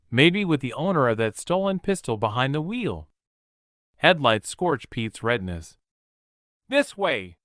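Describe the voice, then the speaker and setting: A man's voice: on the high side, a bit bright, no room sound. A man narrating a horror novel in a vivid, present-tense storytelling style, recorded close to a studio mic. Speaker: audiobook narrator.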